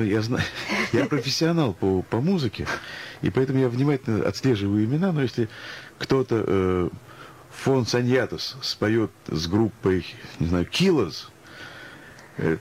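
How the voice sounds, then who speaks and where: A man's voice: mid-range voice, animated, moderate pace, darkish tone, breathy edge. A man speaking as a guest in a radio interview, musing and ironic, arguing through anecdotes and examples. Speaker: interview guest, music professional.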